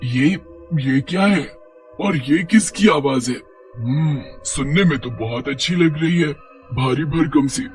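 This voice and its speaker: A man voicing a willful, excitable female witch risen from the grave in a horror storybook tale. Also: fairly high pitch, takes it slowly, faint music behind. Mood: curious, covetous. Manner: self-absorbed, excitable.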